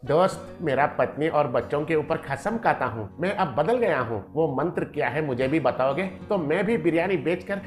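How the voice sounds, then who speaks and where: A man's voice: a little high, expressive, normal speed, hardly any pauses, faint music behind, lightly acted. A man voicing a greedy, boastful, scheming neighbour in a cartoon moral story, wheedling and gloating. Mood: pleading, wheedling.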